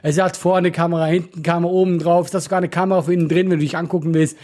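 A husky man with a Swiss German accent, his voice sitting a little high for a man. He chats casually, telling animated stories and laughing often. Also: rapid-fire. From Switzerland. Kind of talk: casual chat.

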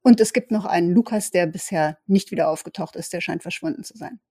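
A woman playing an observant, wary vampire scout in an audio drama, stretching words for deliberate emphasis. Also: fairly low pitch, mellow, no echo, clean studio sound, close to an everyday voice. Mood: reporting. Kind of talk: improvised tabletop role-play audio drama.

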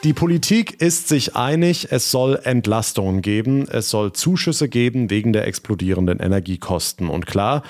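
A man's voice: mid-range voice, animated, normal speed, hardly any pauses, a little mellow, soft background music. A man hosting a regional news podcast, presenting stories and chatting with reporters in a friendly, conversational way.

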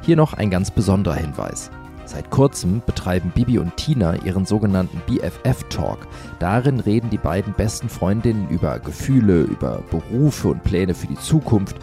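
A man's voice: deep voice, big pitch swings, normal speed, warm tone, soft background music. A man with a German accent reading scripted promotional narration as an announcer.

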